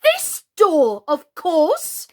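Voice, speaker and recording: A woman's voice: very high-pitched, huge pitch swings, extremely slow, a little mellow, pitched above the performer's own voice, exaggerated voice. A woman voicing a young girl queen in a storybook who is impatient and indignant. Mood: angry.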